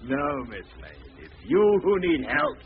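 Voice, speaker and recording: An actor playing a deranged, disfigured murderer, theatrical and menacing, in 1940s radio style on a muffled old recording. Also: male voice, fairly high pitch, wildly swooping pitch, slow pace, heavily acted. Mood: sinister gloating. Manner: theatrical, menacing, swinging between hushed and shrieking. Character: deranged, disfigured murderer, gloating yet self-loathing man.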